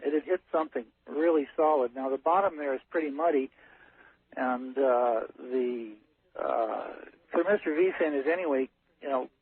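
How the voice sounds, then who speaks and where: Husky male caller on a narrow phone line. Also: mid-range voice, no echo. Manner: halting, matter-of-fact, technical. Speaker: caller, ship's captain. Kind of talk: unscripted storytelling on a call-in show. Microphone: telephone.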